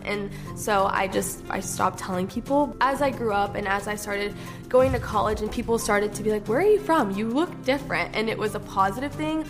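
A young woman telling her story in an animated way, quoting what others said to her. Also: mid-range voice, creaky. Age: young adult. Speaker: interviewee. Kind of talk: casual personal story.

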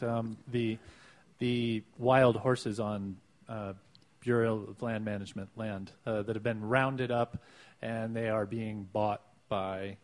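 A man co-hosting a podcast, talking casually with musing asides and easy laughs, recorded inside a clock tower room. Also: mid-range voice. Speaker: podcast co-host.

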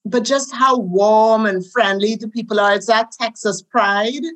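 A woman with a Trinidadian accent, a guest recounting her life in a relaxed interview, with soft laughs as she talks. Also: on the high side, unhurried. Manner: warm storytelling with soft laughs. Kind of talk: conversational interview.

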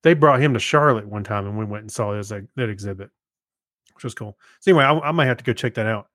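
A man co-hosting a podcast, chatting casually and haltingly as he thinks aloud. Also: lowish voice, fairly bright tone, no room sound, clean studio sound. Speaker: podcast co-host. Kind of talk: casual chat.

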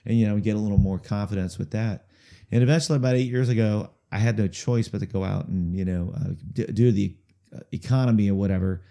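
A man chatting in an interview about his music, talking in a halting way with lots of fillers, restarts and repeated words, chuckling now and then. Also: lowish voice, steady tone, creaky, warm tone. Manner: chuckles through stories. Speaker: musician guest. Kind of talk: casual rambling interview storytelling.

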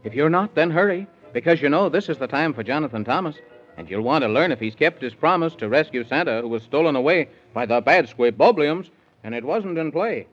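High-voiced male radio storyteller in rhyme. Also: lively intonation, fairly quick, faint music behind. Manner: sing-song verse recital. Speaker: narrator of a children's serial. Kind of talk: rhyming scripted 1930s radio storytelling. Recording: dull 1930s radio recording.

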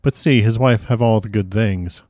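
An elderly, courtly professor from a classic storybook, acted out by a male reader. He speaks with earnest, effusive formality in broken foreign phrasing. The voice is fairly low and bright-toned only in slight measure, leaning mellow. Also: normal speed, no echo, studio quality, close to an everyday voice. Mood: courteous, admiring. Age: older.

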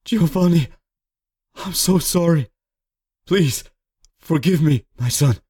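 Storybook father, a proud and desperate man of honor, acted by a male narrator, impassioned and wide-swinging in pitch. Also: lively intonation, unhurried, a little mellow, breathy edge. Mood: remorseful, pleading. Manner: anguished, impassioned, declamatory. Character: desperate, honorable father wrestling with guilt, then dying. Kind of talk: dramatic storybook character acting.

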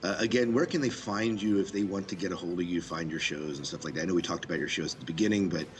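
A man hosting a podcast in casual conversation, with halting, tentative phrasing. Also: sits low. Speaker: podcast host interviewer. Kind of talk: casual chat.

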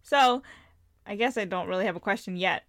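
A woman's voice: medium pitch, fairly quick, a bit bright, no room sound. A woman co-hosting a podcast, quizzing her partner in a teasing, dry way. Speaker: podcast co-host. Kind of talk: casual chat.

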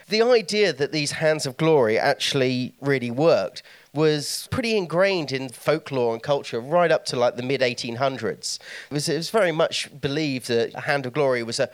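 A man's voice: medium pitch, dry recording. Man giving an informal folklore talk. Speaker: guest speaker, author on folklore.

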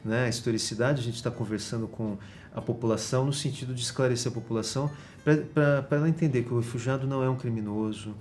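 A man with a Brazilian Portuguese accent, a university guest in an interview, explaining in an earnest, measured way with frequent hesitations. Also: medium pitch, steady tone, a little mellow, a little hiss or hum.

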